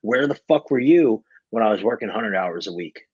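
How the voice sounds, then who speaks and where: A man's voice: lowish voice, expressive, moderate pace, slightly rough, dry recording. A man in his twenties giving a casual motivational rant, blunt, emphatic and full of swearing, on lo-fi audio. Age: 20s. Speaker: podcast speaker.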